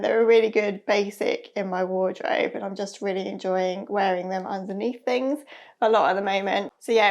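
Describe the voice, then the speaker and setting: A woman in her thirties chats to camera in a relaxed, friendly way. Her voice has a gravelly, fry-tinged edge. She sounds a touch hoarse and nasal from a cold, and speaks at an even, middle pitch. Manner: chatty, friendly, with soft laughs. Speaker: sewing vlogger. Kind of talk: casual chat to camera. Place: bright room with a sewing machine and shelves. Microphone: lapel mic.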